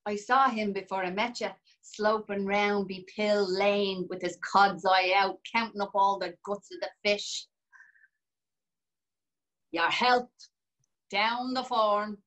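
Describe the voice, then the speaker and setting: Actress for a mocking Irish pub regular, slow, earthy drawl. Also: female voice, medium pitch, fairly even intonation, a bit bright, poor audio. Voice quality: sardonic, earthy. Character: sardonic Dublin pub regular, male narrator. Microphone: video call webcam.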